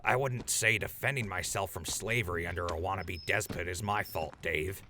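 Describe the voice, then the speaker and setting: A man's voice: low voice, moderate pace, noisy background, close to an everyday voice. A man playing a sarcastic, complaining, footsore wasteland traveler in a post-apocalyptic audio drama. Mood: wry, casual. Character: grumbling, wisecracking footsore male wasteland traveler.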